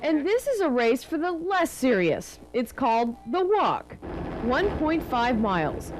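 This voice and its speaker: A woman's voice: high voice, big pitch swings, a little slow, background noise. A woman working as a TV news reporter, reading a scripted narration.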